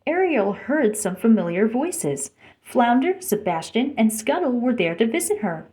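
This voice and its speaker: High-voiced woman reading a children's story. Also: very animated, a little slow, dark tone. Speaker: storybook reader. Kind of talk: scripted children's story read-aloud.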